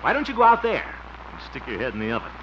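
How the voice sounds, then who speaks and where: A man's voice: mid-range voice, wildly swooping pitch, rapid-fire, over a noisy crowd. An actor playing a fussy, exasperated grown-up man in the exclamatory style of 1950s radio comedy. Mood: bossy, exasperated. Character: fussy, blustering grown-up man minding a small boy. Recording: muffled, noisy old radio recording.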